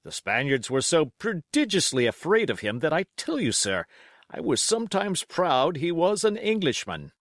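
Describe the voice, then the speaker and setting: Storybook squire, played by a male reader: bluff, grandiloquent, quick-tempered, with big swoops in pitch. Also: sing-song, dry recording, clean studio sound. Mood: excited, blustering. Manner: exclamatory, condescending, grandiloquent. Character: bluff, stately, hot-headed middle-aged country squire.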